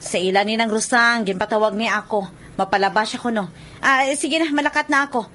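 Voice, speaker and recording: Woman as a fretful, high-voiced village mother. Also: fairly quick, fairly bright tone, close to an everyday voice. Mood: fussing. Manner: chatty, fretful, hesitating. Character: fussing village mother, a laundrywoman, worried about her son. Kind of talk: radio drama acting.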